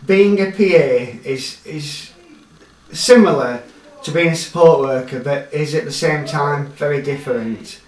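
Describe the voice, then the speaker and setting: Higher-voiced man, echoey and distant. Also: unhurried. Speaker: personal assistant. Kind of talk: informal talk. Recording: echoey, distant, noisy location sound.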